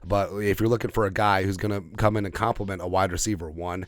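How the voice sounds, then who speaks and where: A man's voice: low-pitched, fairly even intonation, fast, no room sound, clean studio sound. A man hosting a sports podcast, giving off-the-cuff, opinionated football commentary and repeating phrases for emphasis.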